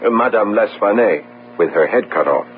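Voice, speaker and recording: Eager, respectful young gendarme male actor, animated delivery, radio play, tinny vintage broadcast with faint hum. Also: fairly low pitch, normal speed, natural voice. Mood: earnest, puzzled. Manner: polite, puzzled, earnest. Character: big, earnest, deferential young French policeman. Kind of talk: old radio drama acting. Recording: tinny old radio broadcast, humming noise.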